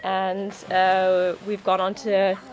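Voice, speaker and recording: A woman whose first language is German, a guest in a casual chat, answering softly with frequent laughs and hesitant pauses. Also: medium pitch, monotone, highs cut off. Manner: soft-spoken, laughs often, hesitant with ums.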